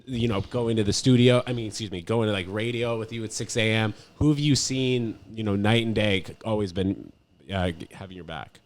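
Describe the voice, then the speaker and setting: A man's voice: lowish voice, brisk. A man in his thirties hosting a casual podcast, slangy and eager, often repeating words of agreement. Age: 30s. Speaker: podcast host and comedian.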